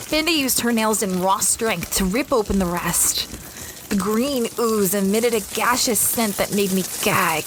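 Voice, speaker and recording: A woman's voice: mid-range voice, noisy background, close to an everyday voice. A woman playing an adventurous, easily flustered young space explorer, narrating her story in an audio drama. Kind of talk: first-person audio drama narration and dialogue.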